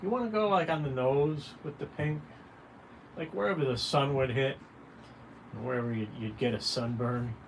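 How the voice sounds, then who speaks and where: Guy with a mid pitched voice doing a chatty folksy art livestream, pretty noisy room sound. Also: moderate pace. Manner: encouraging, folksy, addressing viewers directly. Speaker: caricature artist hosting a drawing livestream. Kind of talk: casual drawing tutorial chat. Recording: clearly noisy room ambience.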